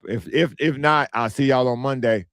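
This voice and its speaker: A man hosting his own podcast in casual, blunt commentary, repeating himself, with a hoarse, strained voice as he loses it. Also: medium pitch, moderate pace, fairly bright tone, dry recording, studio quality. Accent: American. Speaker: podcast host.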